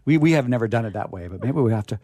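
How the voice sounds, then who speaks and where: A man hosting a casual on-air interview in a chatty, halting, wordy way, with playfully grandiose touches. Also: medium pitch, lively intonation, warm tone, dry recording. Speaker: radio host. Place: radio studio.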